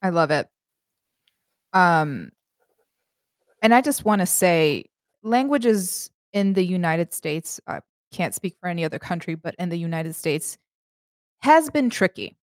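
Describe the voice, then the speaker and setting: A woman's voice: fairly low pitch, animated, measured pace, long pauses, no room sound, studio quality. A woman in an interview, speaking candidly with a few fillers. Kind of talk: casual conversational interview.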